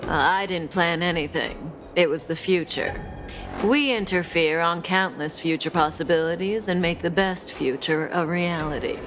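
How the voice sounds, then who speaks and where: A woman's voice: lowish voice, normal speed, music in the background, lightly acted. An actress voicing a cool, coy, enigmatic woman outlaw in a video game, languid and amused. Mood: coy, composed.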